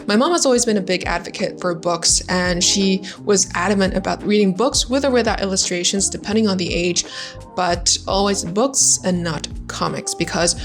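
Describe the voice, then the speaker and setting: A woman's voice: mid-range voice, normal speed, music in the background. Chatty young woman English tutor. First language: Vietnamese. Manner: friendly, chatty, frequent "like" fillers. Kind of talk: casual YouTube lesson talk.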